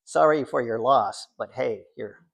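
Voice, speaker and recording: An older American man, a poet introducing his work at a reading in an informal, halting, digressive way with wry, self-deprecating humour. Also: mid-range voice, creaky. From Central New York, United States. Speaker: poet reading from own book. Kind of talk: informal author talk at a poetry reading.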